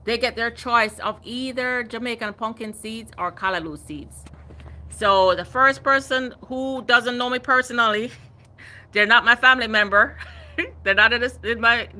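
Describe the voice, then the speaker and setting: A woman's voice: fairly high pitch, bright, forceful tone. A woman in her fifties hosting a casual gardening livestream, chatting animatedly and reading out viewer comments. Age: 50s. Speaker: gardening channel host.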